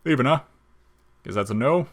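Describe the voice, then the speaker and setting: A man's voice: mid-range voice, lively intonation, moderate pace, a bit bright, voice pushed up, close to an everyday voice. A man playing a laid-back, wisecracking skeleton in an audio story, casual, joking and slangy. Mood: resigned, casual.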